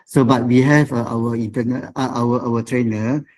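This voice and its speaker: A man's voice: medium pitch, steady tone, moderate pace, darkish tone. A man with a Malaysian accent introducing himself casually on an online call, hesitantly switching between Malay and English. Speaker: webinar participant, company director. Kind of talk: casual self-introduction and chat.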